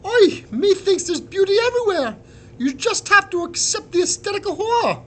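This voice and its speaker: Man putting on a feisty high-pitched Irish lass. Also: big pitch swings, moderate pace, a little hiss or hum. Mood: teasing. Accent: Irish brogue. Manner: teasing, bold, folksy turns of phrase. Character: feisty young female potato resistance captain.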